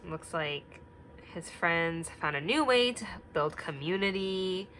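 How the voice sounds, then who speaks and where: A young woman teacher reading a picture book aloud and asking the children gentle questions, sometimes dropping to a whisper. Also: lowish voice, lively intonation, takes it slowly, a little hiss or hum. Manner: drops to a whisper at quiet parts.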